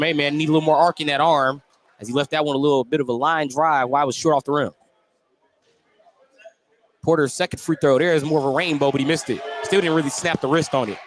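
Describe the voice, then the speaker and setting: A man calling live basketball play-by-play for radio, describing the action in running detail. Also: fairly high pitch. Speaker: play-by-play sports commentator. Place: courtside broadcast spot in a school gym. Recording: live gym broadcast with background noise.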